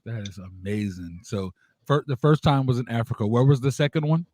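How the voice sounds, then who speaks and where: A man hosting a casual interview, asking questions haltingly and rephrasing them as he goes. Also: mid-range voice, darkish tone, dry recording. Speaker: interview host.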